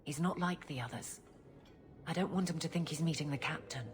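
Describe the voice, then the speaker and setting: An actress playing a proud guard captain who is blunt, flustered and halting, awkward about romance, in a video game cutscene. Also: female voice, low-pitched, soft background music, lightly acted.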